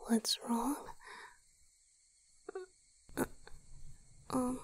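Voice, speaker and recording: A woman voicing a gentle, weakened young male vampire boyfriend in a whispered, hesitant ASMR romantic roleplay. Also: a little high, breathy edge, exaggerated voice, low-quality recording. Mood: hesitant, concerned. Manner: hesitant, soft, very slow, reassuring.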